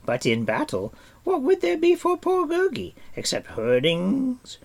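A man's voice: very high voice, huge pitch swings, measured pace, gravelly, voice pushed up, exaggerated voice. A man voicing a whimpering, servile wild creature in an audiobook, fawning and pitying himself in the third person. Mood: wailing, miserable. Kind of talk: audiobook character voice.

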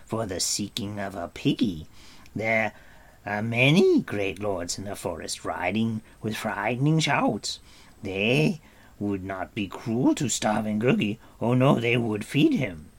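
A man's voice: fairly low pitch, big pitch swings, a little slow, exaggerated voice. A man voicing a groveling, greedy, hairy forest creature who wails and pleads, in a storybook reading. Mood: wailing. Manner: wailing, pleading, talks of himself by name.

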